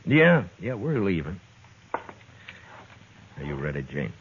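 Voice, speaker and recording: An American actor playing a tough, curt, middle-aged frontier marshal in old-time radio western style, commanding and dry. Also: male voice, low-pitched, big pitch swings, slow pace, full character voice. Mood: stern, defiant. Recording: muffled, noisy old radio western recording.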